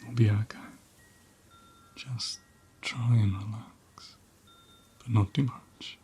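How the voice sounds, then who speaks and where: A man voicing a gentle, protective head ram in an ASMR roleplay, speaking softly and soothingly, often in a breathy whisper. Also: fairly low pitch, fairly even intonation, full character voice. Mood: soothing, concerned. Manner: slow, soothing, reassuring.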